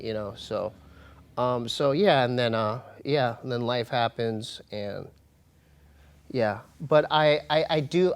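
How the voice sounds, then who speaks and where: An American man telling a personal story as an interview guest, in a casual, slangy and halting but emphatic way. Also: mid-range voice, smooth. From United States. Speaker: interview guest, comedian and hip-hop artist.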